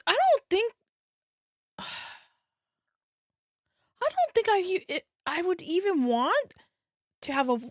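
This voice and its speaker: Woman in her 30s, streamer reaction voice, animated pitch, unhurried, dry close mic. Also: no echo. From United States. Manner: chatty, sympathetic, frequent gasps and sighs. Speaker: VTuber streamer reacting to a video. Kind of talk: casual reaction commentary.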